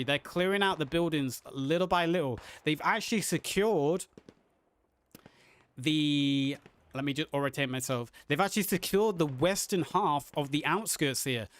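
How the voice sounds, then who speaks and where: A man casting a live online match, his voice hoarse and worn as he narrates the action with rising excitement. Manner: excited, builds to high pitch on big plays. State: losing his voice. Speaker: esports caster. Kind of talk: live game commentary.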